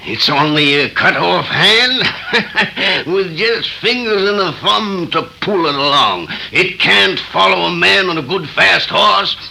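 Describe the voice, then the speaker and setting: An actor playing a violent, guilt-ridden drunkard cowering from doom in melodramatic 1930s radio horror style. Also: male voice, sits high, expressive, slow, vocal fry, pushed and bright, exaggerated voice. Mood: desperate defiance. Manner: melodramatic, fearful. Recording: noisy vintage 1930s radio recording.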